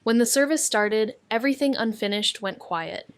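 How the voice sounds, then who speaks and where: A young female sleuth played by an actress narrates a noir mystery podcast. She is wry and watchful, with dry, clipped, deadpan delivery, recorded very close with no room sound. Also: fairly high pitch, normal speed, clean tone, no echo, lightly acted. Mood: somber, watchful. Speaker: narrator and lead character.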